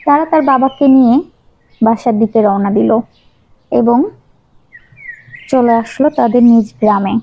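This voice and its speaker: A woman reads a scripted children's story as narrator. Her voice is fairly high, and the recording is dull and lo-fi. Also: a little slow, pauses often, mellow. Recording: dull, lo-fi sound.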